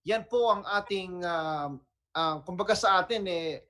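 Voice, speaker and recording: Male radio host, Filipino accent, high register, enthusiastic and chatty, casual talk-show delivery. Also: normal speed, sharp tone. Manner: enthusiastic, conversational.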